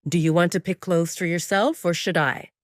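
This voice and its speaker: A woman's voice: fairly low pitch, fairly even intonation, normal speed, no echo, clean studio sound, close to an everyday voice. A woman voicing a bossy young male werewolf alpha with a curt, commanding manner in an audio drama. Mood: curt offer.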